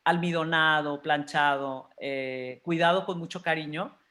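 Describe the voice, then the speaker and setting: A woman's voice: low-pitched, steady tone, a little slow, a bit bright. Mexican woman in her fifties, candid storyteller. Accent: Mexican Spanish. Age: 50s. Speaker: interview guest. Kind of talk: candid personal storytelling in conversation.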